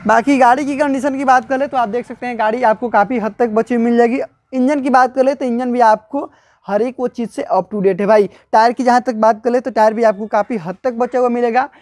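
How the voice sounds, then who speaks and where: A young man vlogging from an outdoor tractor market, walking with a phone camera and pitching used tractors with a brisk salesman's patter. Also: no room sound, clean studio sound. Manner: brisk salesman patter, repeats prices and calls viewers brother. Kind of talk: walking tour sales vlog.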